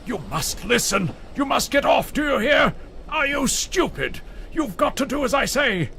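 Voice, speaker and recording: A man's voice: extremely high pitch, sing-song, measured pace, creaky, pushed and bright, raised voice, a little hiss or hum, exaggerated voice. An actor playing a pompous, frightened, stammering man who bosses people about in an audio drama. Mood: panicked, angry. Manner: stammering, indignant. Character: flustered, bossy, frightened man, pompous and condescending.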